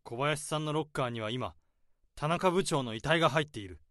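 A man's voice: a little high, a little fast, noisy background, natural voice. An actor playing a nervous, overworked office worker, switching between flustered dialogue and tense first-person inner monologue. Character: overworked nervous office drudge man hiding a secret. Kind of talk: radio drama acting with narration.

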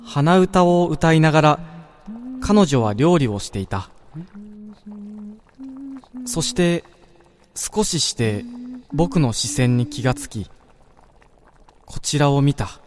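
A man playing a wistful dreamer in a radio drama, telling of his own dream in a measured, reflective first-person narration. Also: fairly high pitch, expressive. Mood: tender, longing. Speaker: narrator-protagonist. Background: background music.

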